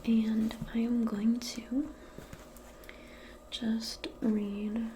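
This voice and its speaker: A woman doing a soft-spoken, whispered ASMR role play as a modern witch, hushed and often repeating words rhythmically. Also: on the high side, little pitch movement, very slow, a little hiss or hum.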